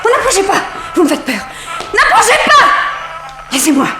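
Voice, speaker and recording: An actress playing a coquettish young artist's model in peril in a 1960s French radio serial, theatrical and emotive. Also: female voice, very high-pitched, big pitch swings, normal speed, loud music behind the voice. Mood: terrified.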